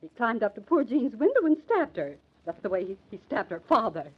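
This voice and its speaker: An actress playing an agitated, meddling housewife in an old-time radio drama, fussy, emphatic and theatrical. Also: female voice, on the high side, very animated, brisk, husky. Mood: indignant, insistent. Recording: dull, lo-fi old radio recording.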